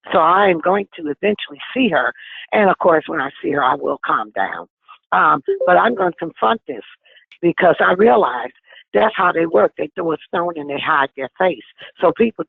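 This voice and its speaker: A woman in her sixties calling in to a conference call, talking forcefully and insistently. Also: lowish voice, creaky, husky, dry recording. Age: 60s. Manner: forceful, insistent, talks over others. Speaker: caller on an activist conference call. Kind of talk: impassioned phone call. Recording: tinny phone line.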